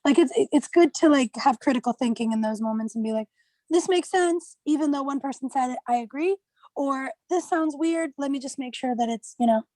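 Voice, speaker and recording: A woman with a distinctly high voice speaks informally in a group discussion. Her delivery is conversational and casual, and the sound is dry with no room echo. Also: a little fast, warm tone.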